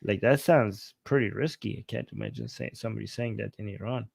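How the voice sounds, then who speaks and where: A man's voice: lowish voice, a little fast, vocal fry, husky, dry recording. A man co-hosting a live news talk show, explaining events in a casual, emphatic way with many "like"s. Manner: animated, emphatic, with frequent "like". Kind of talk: casual discussion commentary.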